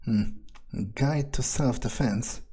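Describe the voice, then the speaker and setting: Mellow-voiced man musing in character. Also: mid-range voice, takes it slowly, breathy edge. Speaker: gamer playing a vampire character. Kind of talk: in-character improvised gameplay monologue.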